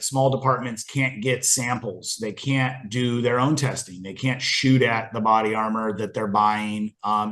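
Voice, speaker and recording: A man presenting a draft to colleagues over a video call, casual and rambling, with frequent ums and dry asides. His voice sits at a middle pitch. The call audio is roomy and echoey. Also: a bit bright. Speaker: committee member presenting a supplier.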